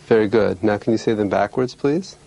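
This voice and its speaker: A man speaking as a clinician demonstrating an exam, giving polite, measured, clearly worded instructions and explanations. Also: lowish voice, dark tone, slight background noise.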